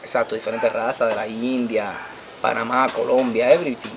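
Young Dominican man, Puerto Rican street accent, animated storyteller; mid-pitched voice, faint night insects behind. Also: moderate pace, gravelly, noisy background. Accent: Puerto Rican Spanish. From Dominican Republic. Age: young adult. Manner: animated, gesturing, repeats "tú me entiendes". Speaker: interviewee. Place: outdoors at night by a wall. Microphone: handheld mic held by interviewer.

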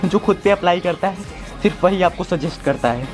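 A man's voice: sits high, a little fast, a faint crowd. A man in his twenties giving casual, instructive workout directions to viewers, often repeating his phrases. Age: 20s. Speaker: amateur home fitness instructor. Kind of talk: workout demonstration talking to viewers. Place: outdoors in a brick-walled yard or rooftop. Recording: loud music behind voice. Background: music.

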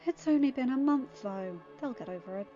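A woman's voice: sits high, lively intonation, brisk, mellow, lo-fi sound, faint music behind. A woman narrating fan fiction as an amateur audiobook reader.

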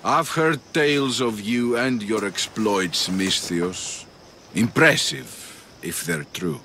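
An actor playing a proud older arena patron in a video game cutscene, speaking in a measured, coaxing way with dramatic pauses. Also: male voice, on the low side, pushed and bright, slight background noise, exaggerated voice. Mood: persuasive.

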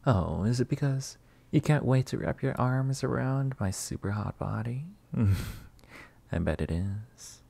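A man playing a flirty, possessive, delusional vampire master in a roleplay ASMR monologue, teasing and theatrical with drawn-out words. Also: medium pitch, animated, vocal fry, warm tone, breathy edge, dry recording, full character voice. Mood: smug teasing.

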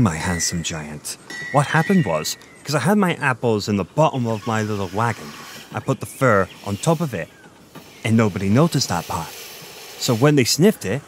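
A male actor plays a flirty Irish innkeeper and former merchant with a lowish voice. He rambles and boasts in an intimate audio roleplay, pausing to think as he tells his tale. Outdoor ambience with birdsong sits clearly behind him. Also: slight background noise, close to an everyday voice. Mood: amused storytelling. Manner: flirty, chatty, meandering storyteller with thoughtful pauses.

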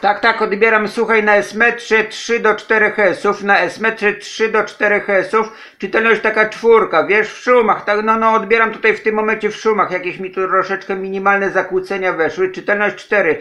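A man's voice: high-pitched, little pitch movement, moderate pace, hardly any pauses, sharp tone. A man from Poland talking casually as an amateur radio operator, repeating his phrases. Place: indoors, radio desk in a flat.

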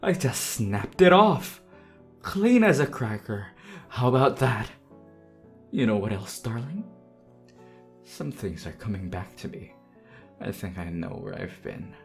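Guy acting as a creepy lovesick undead demon husband, really slow theatrical delivery, music behind him. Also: medium pitch, expressive. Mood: eerie, bemused. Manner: theatrical, swinging from tender murmurs to desperate demands. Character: undead demon husband, obsessively loving, unhinged and menacing. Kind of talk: ASMR roleplay audio acting.

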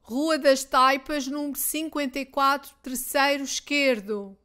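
A woman with a European Portuguese accent drills beginner phrases, saying each one twice. Her voice sits high, and she speaks slowly and carefully, giving every word its space. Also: fairly even intonation, bright, forceful tone. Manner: reads each line twice, clearly and deliberately. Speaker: Portuguese teacher. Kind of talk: slow repeated phrase drills for learners.

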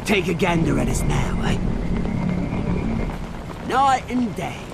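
An actor playing a vengeful, taunting British villain in a video game, theatrical and sneering. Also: male voice, sits high, big pitch swings, slow, gravelly, heavy background noise, full character voice. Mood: gloating menace. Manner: theatrical, sneering, swinging into outbursts. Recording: loud music under the voice.

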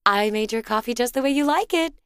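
Sweet, expressive suburban mom played by an actress. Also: female voice, a little high, fast, clear, resonant tone, no room sound, studio quality, lightly acted. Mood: bright, bustling. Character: cheerful, doting suburban wife and mother, inquisitive journalist. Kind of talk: video game character voice acting.

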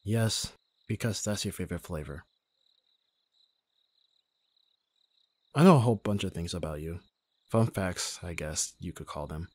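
A man playing a gruff, protective young bodyguard in an ASMR roleplay, with a husky voice and a sardonic, intimate delivery. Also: low-pitched, fairly quick, darkish tone, no room sound. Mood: calm, reassuring. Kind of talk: ASMR roleplay audio drama.

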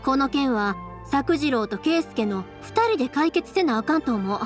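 A woman's voice: sits high, lively intonation, moderate pace, faint music behind. A woman voicing a spirited, caring young woman in an anime game, earnest and colloquial with a Kansai accent. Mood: resolute. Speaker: voice actor in a game.

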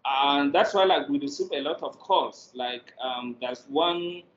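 A man's voice: mid-range voice, unhurried, a bit bright. A middle-aged man with a Nigerian accent explains his work in an interview. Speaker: guest, drama producer. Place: indoor room, video call. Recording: roomy, muffled, noisy video call.

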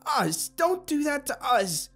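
A man's voice: very high voice, sing-song, slow pace, fairly bright tone, faint music behind, voice pushed up, heavily acted. A man voicing an upset young woman who scolds and pleads out of fierce care, in an audio story. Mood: scolding. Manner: pleading, emphatic.

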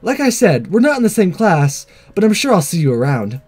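A man's voice: sits high, sing-song, fairly quick, darkish tone, faint music behind. A man voicing a perky, forward teenage schoolgirl in a visual novel game, breezy and teasing in a girlish way. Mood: teasing, cheerful.

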